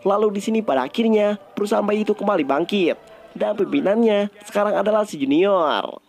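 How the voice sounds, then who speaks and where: A male narrator with an Indonesian accent retells a film in a casual, conversational style. His voice sits high for a man. Soft music plays faintly behind him. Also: a little fast, vocal fry.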